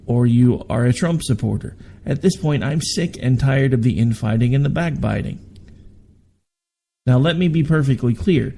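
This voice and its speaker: Weary talk radio host, mellow tone. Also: male voice, mid-range voice, slight background noise. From Oklahoma, United States. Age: 40s. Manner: rambling and candid, with asides and self-corrections. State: tired from overwork. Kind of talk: solo political talk radio monologue.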